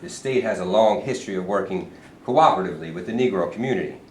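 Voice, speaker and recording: A man's voice: low voice, fast, darkish tone, lightly acted. An actor in a staged reading playing a sly, evasive state governor who talks down to his visitors. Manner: evasive, patronizing, mock-genial. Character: sly smooth-talking male state governor. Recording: echoey room, mic at a distance, faint hiss.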